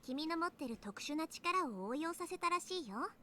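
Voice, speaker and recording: A woman's voice: very high voice, animated, quick pace, sharp tone, exaggerated voice. A woman playing a breezy young time traveler from the future in a visual novel game. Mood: explaining. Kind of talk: Japanese visual novel voice acting.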